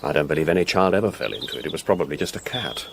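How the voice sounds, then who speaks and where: An actor playing an aloof, exacting artist in a radio drama, curt and offhand. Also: male voice, very deep voice, lively intonation, rapid-fire, creaky, slight background noise, heavily acted. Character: aloof, exacting male artist, coolly self-absorbed.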